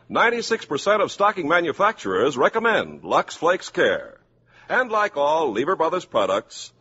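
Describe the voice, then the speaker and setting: A man announcing and pitching products in the polished style of a 1950s radio commercial. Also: a bit bright, breathy edge. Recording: muffled, hissy 1950s radio recording.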